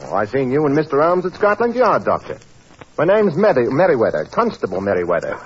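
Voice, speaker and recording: An actor playing a chatty, respectful police constable in a 1940s radio drama. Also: male voice, mid-range voice, huge pitch swings, brisk. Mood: eager, deferential. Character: eager, deferential working-class British police constable. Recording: dull, faintly noisy 1940s radio recording.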